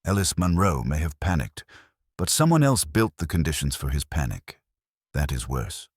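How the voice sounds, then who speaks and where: A man voicing a grave, imperious older detective in an audiobook mystery, murmuring in measured, formal sentences. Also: extremely low pitch, very animated, no echo, studio quality, exaggerated voice. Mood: grave, reasoning.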